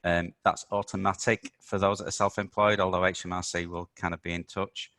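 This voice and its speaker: A man hosting a business webinar, a little croaky from talking all week, explaining with many ums and uhs. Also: low voice, little pitch movement, brisk, a bit bright, no room sound. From United Kingdom. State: slightly croaky voice. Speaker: webinar host, accountant.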